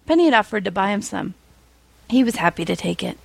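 Creaky-voiced woman reading a story aloud. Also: mid-range voice, animated, fast, no room sound, rough recording. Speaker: fan fiction reader. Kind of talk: expressive storytelling narration.

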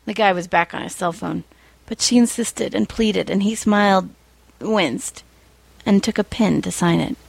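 Creaky-voiced woman reading a story aloud. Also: mid-range voice, unhurried, no echo, low-quality recording. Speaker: fan fiction reader. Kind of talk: expressive storytelling narration.

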